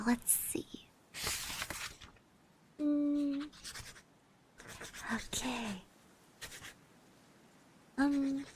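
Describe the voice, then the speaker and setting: A woman playing a shy, friendly schoolgirl classmate in an ASMR roleplay, speaking softly, gently and hesitantly. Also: high-pitched, heavily acted. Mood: soft, admiring. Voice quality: soft, breathy. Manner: hesitant, gentle, with little hums and trailing repeats. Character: shy, friendly high school girl classmate, admiring and easily flustered.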